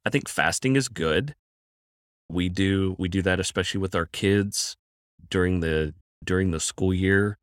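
A very low-pitched man speaks on a dry, close microphone. He is a counselor giving careful, thoughtful answers as a podcast guest, often doubling a word as he works out a point. He sounds middle-aged. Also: smooth, no echo. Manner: measured, thoughtful, repeats words while thinking.

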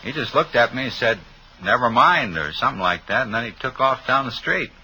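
A mid-pitched male voice with an American accent, a jaded cab driver on an old radio crime show. He talks in slangy, shrugging fashion, with clear noise on the recording. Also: animated, fairly quick, bright, forceful tone, low-quality recording. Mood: offhand recollection. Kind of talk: 1950s radio drama acting.

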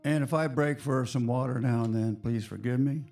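A hoarse man hosting a spiritual radio show, talking in a slow, reflective, chatty way to his listeners. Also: medium pitch, darkish tone, soft background music. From United States.

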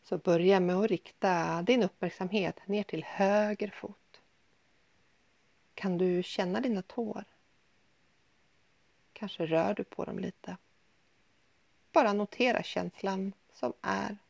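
A woman guiding a yoga nidra body scan in a soothing, gentle, unhurried manner with pauses. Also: medium pitch, steady tone, gravelly, no room sound, muffled recording. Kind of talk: guided meditation, body scan.

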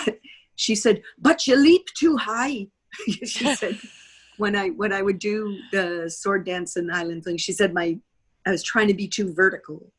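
Female, 60s, American, slightly low voice. Interview guest sharing anecdotes, quoting people as she goes. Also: breathy edge. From United States.

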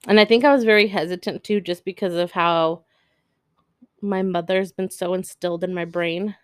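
A woman's voice: on the low side, fairly even intonation, clear, resonant tone, no room sound, clean studio sound. A young woman co-hosting a casual couple's podcast, telling stories candidly. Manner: candid, storytelling, quick laughs. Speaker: podcast co-host.

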